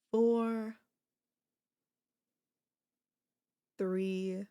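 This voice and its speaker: A woman voicing an obsessive yandere sister-in-law in an ASMR audio roleplay, sweetly menacing, coaxing and chatty, calmly unapologetic. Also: fairly high pitch. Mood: hushed, menacing.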